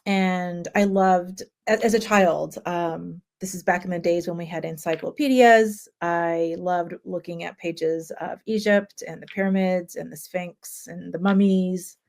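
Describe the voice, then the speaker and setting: A woman from Japan hosting a relaxed conversational chat, talking loosely with frequent ums. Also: lowish voice, unhurried, vocal fry, hardly any pauses. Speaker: host.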